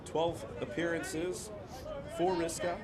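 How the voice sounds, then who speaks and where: A man's voice: on the high side, level tone, slow. A man from the United States with an American accent, giving live football commentary. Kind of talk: live play-by-play sports commentary. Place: outdoors at a football ground. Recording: outdoors with loud crowd noise. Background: loud crowd.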